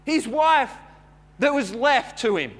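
A man preaching a sermon in a conversational, storytelling way, repeating words as he goes and building from quiet chat to louder preaching. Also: very high voice, big pitch swings, slow. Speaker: pastor.

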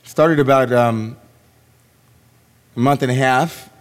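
A rabbi preaches in a measured, unhurried way, leaving long pauses between phrases. His voice sits at an ordinary male pitch but sounds worn and hoarse. The sound carries a long reverb, as if it fills a large hall. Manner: slow, reflective, with long pauses. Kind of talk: sermon.

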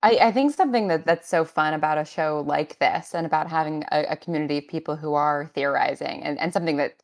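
Woman w a pretty low voice, casual panel chat, thoughtful explainer type. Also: fast. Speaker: audio drama writer on a Q&A panel.

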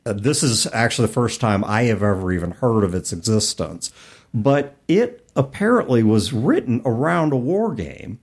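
A man's voice: mid-range voice, lively intonation, moderate pace, breathy edge. A middle-aged man hosting a podcast, talking casually and explaining things conversationally. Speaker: podcast host.

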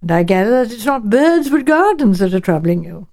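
Audiobook part: interrogator voiced by a woman, attentive and probing, economical delivery, soft-edged tone. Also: fairly high pitch, sing-song, fairly quick. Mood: inquiring. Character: probing professional listener questioning an old lady.

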